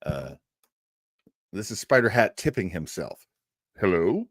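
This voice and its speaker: A man's voice: low-pitched, big pitch swings, unhurried, breathy edge, no echo, studio quality. A man hosting an old-time radio livestream in a casual, rambling, jokey way, chatting to his viewers. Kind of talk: casual livestream chat and introduction.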